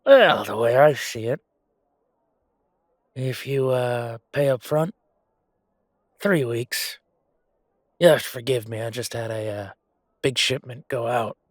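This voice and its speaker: A man's voice: medium pitch, slow pace, pauses often, no room sound, studio quality. A man voicing a chatty, self-satisfied merchant in a fantasy audio roleplay, with a casual, drawling, hesitant way of talking. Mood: matter-of-fact. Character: male merchant, chatty, self-satisfied, grumbling royal supplier.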